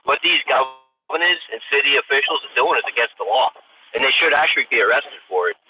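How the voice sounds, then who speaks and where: A man's voice: medium pitch, animated, a little fast, a loud crowd. An American man in his forties chatting casually on a livestream with emphatic, repetitive opinions and some swearing. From United States. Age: 40s. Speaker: livestream host. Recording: tinny radio-like sound, distant mic, faint noise.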